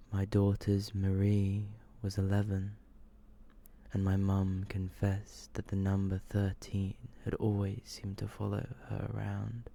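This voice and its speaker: A man softly whispering and murmuring as he reads in an ASMR style, hushed and drawn out, close to the microphone. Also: low voice, vocal fry. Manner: hushed, slow, drawn-out delivery. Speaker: ASMR video host reading short horror stories.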